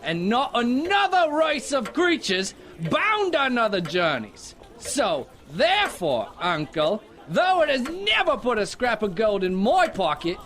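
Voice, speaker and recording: A man playing a cheerful, warm-hearted young nephew with a British accent, earnest and pleading, in an amateur audio drama. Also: very high-pitched, huge pitch swings, a little slow, faint music behind, full character voice. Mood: earnest, heartfelt. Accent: put-on British.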